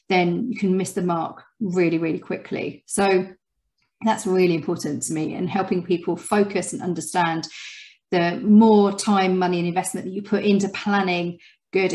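A woman's voice: on the low side, a little mellow. A woman in her thirties, a communications consultant, giving structured, articulate answers as a podcast guest over a video call from home. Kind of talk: conversational interview answers. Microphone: wired earphone mic on video call.

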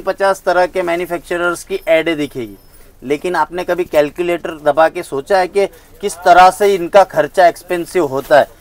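A fairly high-pitched man in his thirties talks up his wares with emphatic, persuasive conviction. He walks and gestures through a large indoor showroom as he speaks. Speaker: saree wholesaler. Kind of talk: sales pitch in a shop interview.

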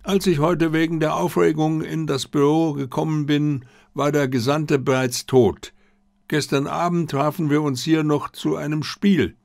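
A man voicing an old, proud, disciplined Japanese army general who speaks in a formal, measured way. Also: on the high side, steady tone, vocal fry, a little mellow, no room sound, studio quality, pitched above the performer's own voice. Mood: dignified.

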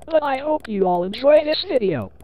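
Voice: high voice, animated, moderate pace, mellow, slight background noise. A channel host speaking through a female text-to-speech voice, giving upbeat step-by-step game tutorial instructions.

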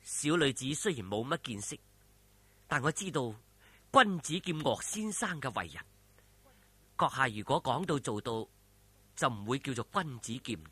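A man voicing a composed young woman of the martial world in a storybook telling, soft and coolly pointed. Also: mid-range voice, big pitch swings, moderate pace, long pauses, breathy edge, no room sound, voice pushed down, full character voice. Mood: cutting. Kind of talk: Cantonese audio storytelling.

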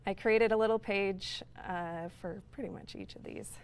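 A woman's voice: medium pitch, fairly even intonation, normal speed, slight background noise. Woman, 30s, speaker at a tech talk; plain mid voice, explanatory, lots of "um" and "uh", room mic. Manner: explanatory, with frequent fillers. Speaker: conference speaker. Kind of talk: technical talk. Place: lecture room with projector screen.

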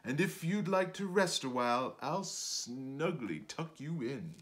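A man's voice: fairly high pitch, very animated, a little slow, fairly bright tone. A man voicing a cunning male spider villain, courtly and coaxing, in a storybook read-aloud.

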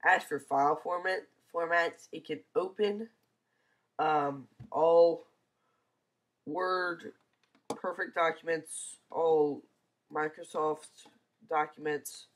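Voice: mid-range voice, steady tone, slow, long pauses. A teenager with a stuffy, congested nose from a cold gives a casual, hesitant screencast review, full of ums, over a computer mic. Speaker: software reviewer.

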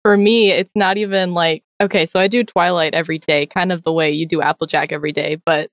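A woman's voice: on the low side, fairly even intonation, a little fast, no echo. A woman who works as a voice actor, talking casually on an online live panel. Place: online voice call.

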